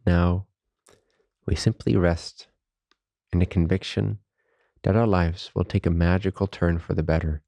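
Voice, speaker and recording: A man leading a guided meditation as a teacher, speaking softly, slowly and calmly in reverent phrases with long pauses. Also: low voice, gravelly, no room sound. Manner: calm, unhurried, reverent spiritual phrasing.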